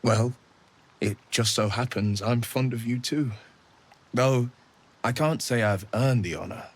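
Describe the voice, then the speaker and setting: An actor playing a gallant, earnest young warrior hero in a video game, courtly and gently teasing in his speech. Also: mid-range voice, measured pace, close to an everyday voice. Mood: playful, teasing. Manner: courtly, eloquent phrasing with soft hesitations. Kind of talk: video game dialogue acting.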